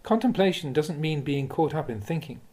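Mellow, expressive man reading Buddhist teachings. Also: fairly high pitch, moderate pace. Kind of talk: audiobook-style reading of a dharma talk with questions and answers.

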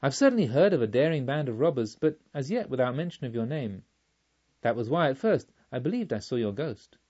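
A man reading the part of a proud, grieving noblewoman who tells her story in formal, measured audiobook narration. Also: medium pitch, very animated, mellow, muffled recording. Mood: wary. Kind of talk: audiobook first-person narration.

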